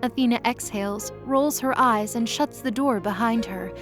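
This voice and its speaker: A woman's voice: on the high side, normal speed, music in the background. A woman narrator reading scripted story narration for an audio drama.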